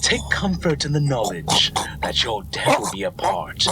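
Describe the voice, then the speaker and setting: A cruel snake lord of fantasy audio drama. He savors his words slowly, mocking and grandiose, with big swoops in pitch. Clear noise sits around the voice. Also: huge pitch swings, full character voice. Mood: triumphant cruelty. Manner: theatrical, mocking, sneering. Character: scheming gloating male snake villain lord, grandiose and cruel. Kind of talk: fan audio drama villain acting.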